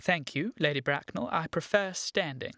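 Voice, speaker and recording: A man playing a nervous, lovestruck gentleman in his late twenties in a comic radio play, earnest and hesitant, tripping over his words. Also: on the high side, moderate pace, dry recording, clean studio sound. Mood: uneasy politeness. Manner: hesitant, flustered, stammering, earnest. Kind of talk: theatrical comedy-of-manners radio acting.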